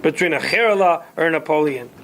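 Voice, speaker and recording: A man's voice: on the high side, a little fast, fairly bright tone, slight background noise. A man voicing a defensive storekeeper who argues back patiently in a told story. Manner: defensive, reasoning. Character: defensive middle-aged male storekeeper.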